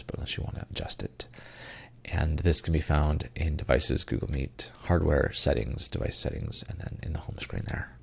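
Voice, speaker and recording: Low, gravelly congested man. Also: darkish tone. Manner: many ums and uhs, loose rambling asides. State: congested and tired. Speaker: podcast co-host. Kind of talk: casual tech news rundown.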